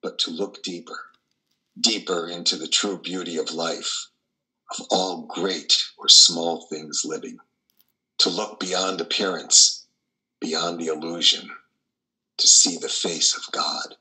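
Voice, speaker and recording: An older man, in his sixties or beyond, playing a troubled, compassionate priest in a deliberate, theatrical, musing monologue. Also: deep voice, fairly even intonation, bright, forceful tone, heavily acted, rough recording. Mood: philosophical, troubled. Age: 60s or older. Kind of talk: dramatic monologue in play reading. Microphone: video call, close to camera.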